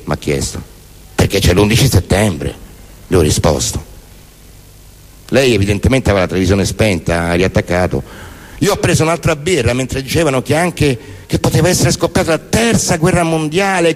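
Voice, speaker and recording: Male stage performer, mid-pitched; deadpan, declamatory spoken-word delivery. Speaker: stage performer reciting poems and prose monologues. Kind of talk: theatrical spoken-word recitation.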